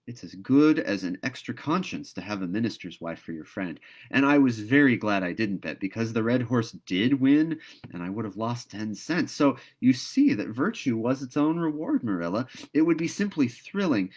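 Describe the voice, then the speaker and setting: A man's voice: mid-range voice, expressive, moderate pace, close to an everyday voice. A man voicing a talkative, dreamy young girl in a storybook read-aloud, earnest and gushing. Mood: earnest, chatty.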